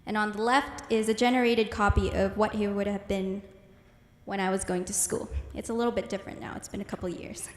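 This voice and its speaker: A teenage girl from the Philippines whose first language is Ilonggo, giving an earnest, measured prepared presentation as a student speaker. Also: medium pitch, steady tone. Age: teens. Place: large hall. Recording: echoey hall with long reverb.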